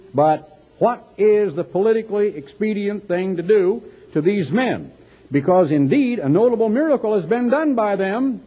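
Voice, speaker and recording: A dull, lo-fi recording of a man preaching with a Texas accent. His voice is fairly high and husky, swinging widely as he declaims scripture and adds emphatic, pointed commentary. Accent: American, Texas. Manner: emphatic, dramatic delivery with rhetorical questions and mocking asides. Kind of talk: sermon with scripture reading and commentary.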